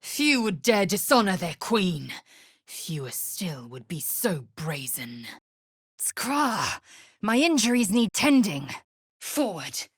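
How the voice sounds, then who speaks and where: Husky, haughty alien warrior woman. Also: on the low side, fairly bright tone, no room sound, clean studio sound, heavily acted. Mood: fierce, commanding. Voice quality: husky, raspy, breathy. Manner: imperious, clipped, formal, disdainful. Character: fierce, haughty young female alien githyanki warrior, curt and militant. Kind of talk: video game voice acting.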